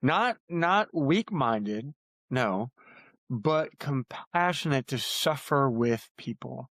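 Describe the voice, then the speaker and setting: A man's voice: medium pitch, lively intonation, takes it slowly, a bit bright, no echo. A man talking earnestly as an author guest on a podcast, repeating phrases for emphasis. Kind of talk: earnest podcast interview talk.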